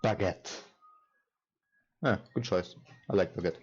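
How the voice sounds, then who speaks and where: A man hosting a let's play, reading game text aloud haltingly and adding casual commentary. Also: sits low, lo-fi sound. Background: game music.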